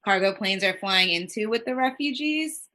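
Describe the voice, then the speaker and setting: Bright-voiced woman, chatty and rambling. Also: medium pitch, normal speed. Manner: rambling, heavy use of "like", quoting others in lively voices. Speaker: child life specialist. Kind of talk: casual conversation on a podcast.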